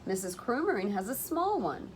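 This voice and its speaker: A woman's voice: mid-range voice, sing-song, moderate pace, lightly acted, poor audio. A woman voicing a curious young child from a storybook, with an eager, questioning manner. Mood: puzzled, questioning. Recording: noticeable background noise.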